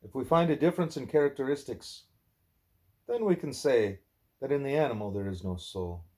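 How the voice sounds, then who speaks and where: Guy reading a religious dialogue out loud at home, mid-pitched voice, deliberate, fixes his slips. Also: animated. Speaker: book reader, parent. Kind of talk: home read-aloud of a spiritual dialogue.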